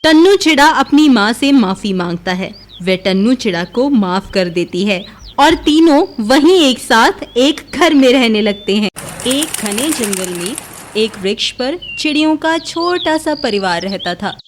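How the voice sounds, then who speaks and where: A woman's voice: a little high, lively intonation, a little slow. A woman narrator reading a scripted children's moral story.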